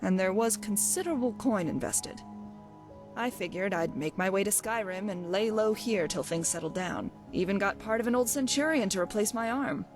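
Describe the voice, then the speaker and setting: A woman's voice: mid-range voice, normal speed, over music, close to an everyday voice. A woman playing a brash, wry undying thief and adventurer as a companion in a fantasy video game, speaking theatrically. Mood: wry, matter-of-fact. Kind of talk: voiced game dialogue.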